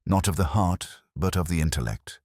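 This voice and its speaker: A very deep-voiced, brilliant detective of Victorian times, played by a male narrator. He speaks formally and deliberately, gentle yet commanding, with a slightly husky edge. It is a dry, close audiobook delivery. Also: lively intonation, no echo. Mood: measured, intrigued. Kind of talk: audiobook character dialogue.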